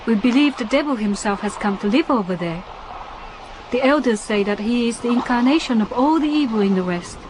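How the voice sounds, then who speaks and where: A woman's voice: on the high side, animated, brisk, background noise, natural voice. An actress playing a young Japanese island diving woman in a radio drama, earnest and practical, with careful, formal phrasing. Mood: grave, earnest.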